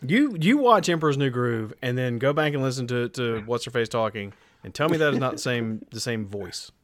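A man's voice: medium pitch, lively intonation, fairly quick, no echo, clean studio sound. A man co-hosting a podcast in casual banter, with a wry, teasing way of talking. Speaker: podcast co-host.

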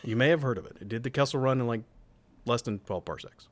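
A man's voice: mid-range voice, fast. A man co-hosting a podcast, talking casually with a dramatic, mock-indignant, emphatic manner. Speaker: podcast co-host. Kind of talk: casual group chat.

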